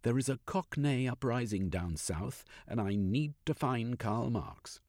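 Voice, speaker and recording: A man voicing a hot-tempered gentleman adventurer who talks in an irritable, blustering way. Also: mid-range voice, lively intonation, unhurried, dry recording, studio quality, voice pushed up. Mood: irritated.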